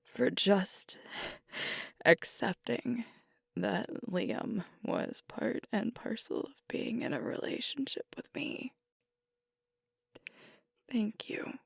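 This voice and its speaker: A woman playing a sweet, vulnerable alpha werewolf girlfriend in an intimate ASMR roleplay, speaking softly and hesitantly as she grieves. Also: mid-range voice, no room sound, clean studio sound, full character voice. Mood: wistful, hurting. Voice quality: soft, breathy, often whispered. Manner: slow, hesitant, confiding, with pauses.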